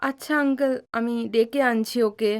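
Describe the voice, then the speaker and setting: A woman's voice: fairly high pitch, steady tone, normal speed, close to an everyday voice. A woman playing a worried, questioning young daughter in an audio drama.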